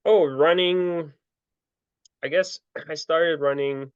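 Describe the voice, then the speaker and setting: A young man telling his story in a relaxed interview, full of fillers and restarts. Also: a little high, takes it slowly, smooth, dry recording. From Ukraine. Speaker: guest, ultra trail runner. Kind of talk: casual interview answers. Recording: dull lo-fi dry sound.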